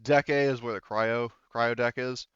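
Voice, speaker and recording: A man's voice: medium pitch, fairly even intonation, normal speed, fairly bright tone, clear, resonant tone, no echo, lo-fi sound. A man hosting a tabletop roleplaying session as game master, explaining things informally and a little hesitantly.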